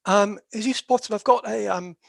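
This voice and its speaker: A croaky, gravelly presenter lecturing in a steady, explanatory way, pausing often on "um" between phrases. The voice sits at a middling pitch and sounds close and dry, with no room around it. It comes across as a dispute resolution specialist walking through a webinar. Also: dry recording. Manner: hesitant, with very frequent "um" fillers.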